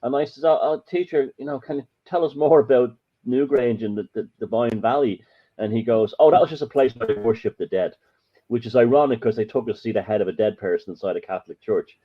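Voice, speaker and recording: A man's voice: medium pitch, fast. Irish man telling rambling stories. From Ireland. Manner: emphatic, rambling storyteller. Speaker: podcast guest. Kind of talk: casual storytelling chat. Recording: muffled lo-fi audio.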